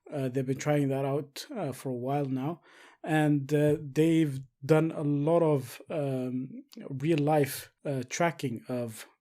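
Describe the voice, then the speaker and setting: Halting man giving market commentary. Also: on the high side, fairly even intonation, warm tone. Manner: halting delivery with frequent filled pauses. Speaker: host of an investing commentary show. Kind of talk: semi-scripted stock market commentary.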